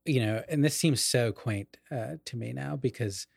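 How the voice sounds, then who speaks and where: A middle-aged man talks casually about literature as a podcast co-host. His voice sits at an ordinary pitch in a very dry recording, and he is learned and digressive, wandering into asides. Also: normal speed, gravelly, slightly rough, dry recording.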